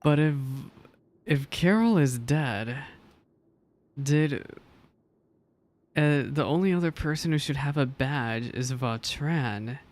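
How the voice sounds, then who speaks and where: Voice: mid-range voice, takes it slowly, pauses often, a little mellow. A streamer giving casual, off-the-cuff reactions while playing a story game.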